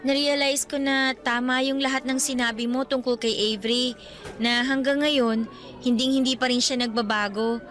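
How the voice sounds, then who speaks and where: Pleading young schoolgirl, high voice, bright tone, radio drama, soft music behind. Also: fairly even intonation, normal speed. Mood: remorseful. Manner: emotional, pleading, rising to shouts. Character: young female student, pleading and desperate.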